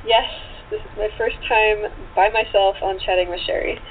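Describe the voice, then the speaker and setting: A tinny phone line with faint noise carries a woman guest in a casual interview. She is chatty and excitable, talking informally in a mid-pitched voice. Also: normal speed. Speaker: interview guest, actress and writer. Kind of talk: casual chat.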